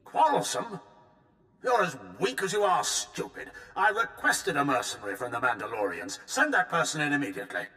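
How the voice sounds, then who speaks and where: Sharp, angry imperial admiral. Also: male voice, fairly high pitch, big pitch swings, moderate pace, big-room sound. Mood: irate. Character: angry, scornful imperial admiral barking orders. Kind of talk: video game voice acting.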